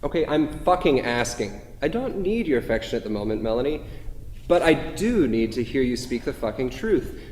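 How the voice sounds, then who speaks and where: Man acting an insecure lover, lively pitch, echoey. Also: normal speed, lightly acted. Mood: pleading, frustrated. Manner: apologetic, earnest, wordy. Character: insecure, needy, wordy male lover, easily wounded. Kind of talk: staged play reading. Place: theatre stage at a live staged reading. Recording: echoey theatre hall, faint noise.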